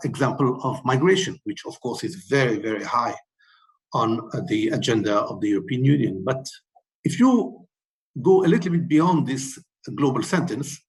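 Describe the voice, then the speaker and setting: Man, webinar panelist, measured policy talk, hesitant, frequent fillers. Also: medium pitch, lively intonation, low-quality recording. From Morocco. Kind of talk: policy talk, numbered key messages.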